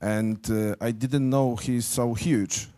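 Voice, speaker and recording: A man's voice: medium pitch, steady tone, slow pace, mellow. A man whose first language is Polish, an arm wrestler talking back boastfully in broken English at a press conference. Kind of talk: press conference trash talk.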